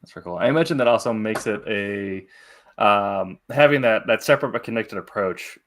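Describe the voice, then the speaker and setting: Lowish-voiced man hosting an interview. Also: lively intonation, a little fast, a bit bright, slight background noise. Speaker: interview host. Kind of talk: conversational interviewing.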